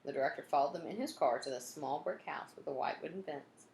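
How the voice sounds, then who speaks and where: A woman reading a children's storybook aloud in an expressive way, acting out the story and adding asides like a teacher. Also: low-pitched, fast talker, creaky. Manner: expressive, acts out dialogue and sound effects. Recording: roomy echo, some background noise.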